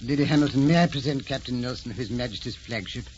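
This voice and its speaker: A man's voice: medium pitch, fast talker, a little mellow, close to an everyday voice. A dull, noisy old radio recording of a man playing a courtly ambassador. He is genteel and indulgent, a fond husband speaking with formal courtesy in a period drama. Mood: courteous. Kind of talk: 1940s radio drama acting.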